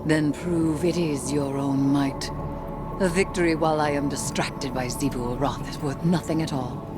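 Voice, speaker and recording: A woman playing a grave, resolute dark sorceress in a video game, proud and formal, with solemn, deliberate delivery. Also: deep voice, steady tone, loud music behind the voice, lightly acted. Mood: proud, challenging. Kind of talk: dramatic video game dialogue.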